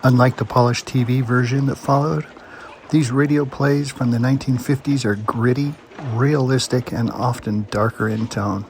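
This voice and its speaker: A man giving an even, explanatory scripted introduction. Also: mid-range voice, normal speed, a little hiss or hum. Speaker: introducer of old radio episodes. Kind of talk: scripted narration.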